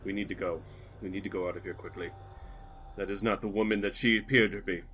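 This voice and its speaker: A man voicing an earnest, principled wizard in a solemn, halting way, close to his own voice. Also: low-pitched, fairly even intonation, a bit bright, slight background noise. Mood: urgent. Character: earnest, principled male wizard fleeing an inquisitor.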